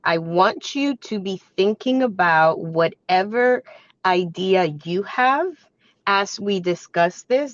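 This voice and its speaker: A woman with a slightly hoarse voice from a cold, presenting like a business coach in a conversational, instructive way. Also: on the low side. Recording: echoey room, dull muffled sound.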